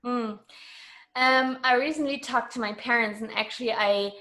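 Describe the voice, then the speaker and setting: Higher-voiced woman from Germany, chatting. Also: steady tone, normal speed, pushed and bright, poor audio. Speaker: interview guest. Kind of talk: casual conversational interview.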